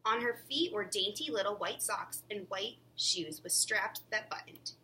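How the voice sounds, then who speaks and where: Distant, bright-voiced woman narrating a storybook. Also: mid-range voice, measured pace. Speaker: teacher reading a storybook aloud. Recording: distant microphone, faint background noise.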